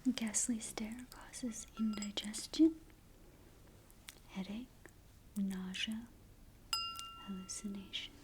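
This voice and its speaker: A woman voicing a ghost guide in an ASMR roleplay, in a breathy whisper with slow, hushed, deliberate instructions. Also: medium pitch, background noise. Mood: hushed, eerie. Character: ghost guide briefing a newcomer to the afterlife. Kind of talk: soft-spoken ASMR roleplay.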